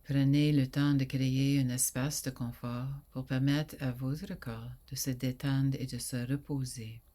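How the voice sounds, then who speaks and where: A woman guiding a sleep meditation in a soft, soothing voice that often drops to a breathy near whisper, with long pauses. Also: rumbling low, fairly even intonation. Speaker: yoga nidra guide.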